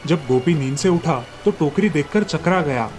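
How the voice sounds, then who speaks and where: A man's voice: a little high, normal speed, darkish tone. A man narrating a scripted fairy tale as a storyteller. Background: light background music.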